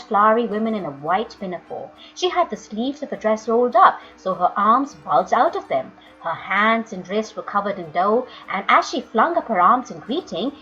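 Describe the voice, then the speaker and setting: A woman storyteller reading a children's book aloud with expressive, dramatic, emphatic phrasing. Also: on the high side. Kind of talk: expressive children's audiobook narration. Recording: dull lo-fi audio, music underneath.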